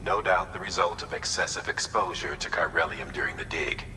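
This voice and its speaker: An actor voicing a grave, commanding operations officer in a video game, giving measured, serious instructions. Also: male voice, a bass voice, background noise. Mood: businesslike briefing. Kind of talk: dramatic video game mission briefing.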